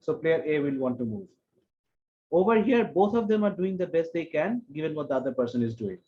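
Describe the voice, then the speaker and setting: Brief: male lecturer, high-pitched, methodical, repeats key phrases over a dull call line. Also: sing-song. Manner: explains step by step, repeating key phrases slowly. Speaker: economics lecturer. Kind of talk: online university lecture.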